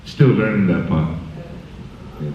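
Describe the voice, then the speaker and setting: Man, very deep voice, joking and easygoing, far from the mic in an echoey hall, dull sound. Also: animated, slow pace. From American Samoa, raised in Hawaii. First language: Samoan. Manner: joking, laid-back storytelling. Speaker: panel guest artist. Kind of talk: casual Q&A answers. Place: large hall at a film screening.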